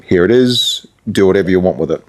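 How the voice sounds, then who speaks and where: A man's voice: low voice, lively intonation, quick pace. A middle-aged man with an Australian accent, a radio presenter talking casually in an interview and thinking aloud as he goes. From Perth, Australia.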